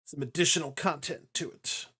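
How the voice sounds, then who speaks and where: A man's voice: a little high, steady tone, moderate pace, bright, forceful tone, slightly rough. A man giving casual, playful live commentary as he streams a video game, sometimes slipping into goofy chanting. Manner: playful, breaks into goofy rap-style chanting and sound syllables. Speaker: video game livestreamer narrating a speedrun. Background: game music and sound effects.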